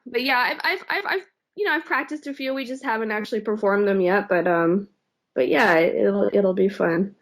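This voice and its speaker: A woman guest talking through her answers in a relaxed, loose way, full of small restarts and "you know"s. Her speaking voice sits in an ordinary middle pitch, neither high nor low, and she sounds like an everyday person rather than a trained speaker. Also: moderate pace. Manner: hesitant, many fillers and restarts. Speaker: singer in a cover band, guest. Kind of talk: casual interview chat.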